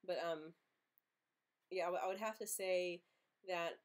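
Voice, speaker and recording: Young woman, frequent stutter, repeated sounds and blocks, earnest, laughs easily, lowish voice. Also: fairly even intonation, a little slow. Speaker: livestream host answering viewer questions. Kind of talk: casual live Q&A chat.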